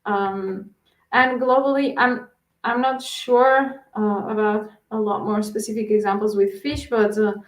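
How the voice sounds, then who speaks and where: A woman's voice: medium pitch, fairly even intonation, a little slow. Portuguese-accented woman researcher, echoey sound. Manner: hesitant, many fillers and restarts. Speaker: guest researcher. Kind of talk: answering interview questions.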